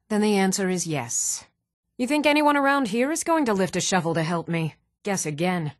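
An actress voicing earnest, even-toned townswomen in a fantasy video game, speaking in a measured, clearly enunciated way. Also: female voice, medium pitch, dry recording, clean studio sound, close to an everyday voice. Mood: bitter, resigned. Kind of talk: scripted video game voice acting.

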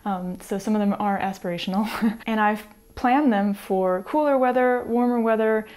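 An American woman in her thirties, chatting to camera in a small home room on a lapel mic, light and easygoing, with soft laughs between thoughts. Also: mid-range voice, moderate pace. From United States. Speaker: sewing vlogger. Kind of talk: casual chat to camera.

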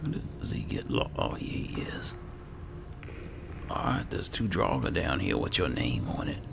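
A man with a low, gravelly voice giving casual game commentary, muttering asides to his companion. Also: big pitch swings, unhurried, noisy background. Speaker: gameplay host and roleplayer. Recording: game music behind the voice. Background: loud game music.

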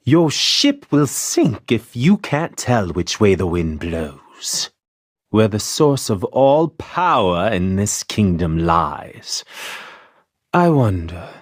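A man's voice: fairly low pitch, very animated, slow pace, no echo, studio quality, full character voice. A man playing a power-hungry, scheming villain in a game, gloating and theatrical. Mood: smug, gloating. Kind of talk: game character voice acting.

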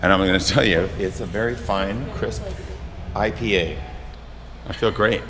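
A middle-aged man in a brewery, talking with wry, deadpan humor about his work. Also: low-pitched, moderate pace, long reverb tail, a crowd in the background. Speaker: brewery worker. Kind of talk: mock-serious work banter. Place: brewery floor, large indoor hall. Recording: noisy machinery background.

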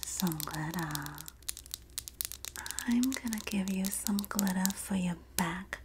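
A woman playing a sassy, supportive Jersey girl in a soft-spoken, whispered ASMR roleplay, with a New Jersey accent and a gossipy, soothing manner. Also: medium pitch, noisy background, close to an everyday voice. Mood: soothing, reassuring. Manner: gossipy, flattering, soothing, drawn-out delivery. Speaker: ASMR performer.

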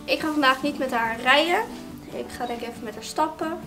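High-voiced young woman vlogging. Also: fairly quick. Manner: chatty, with frequent fillers. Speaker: vlogger and rider. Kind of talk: casual vlog chat. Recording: music playing loudly behind.

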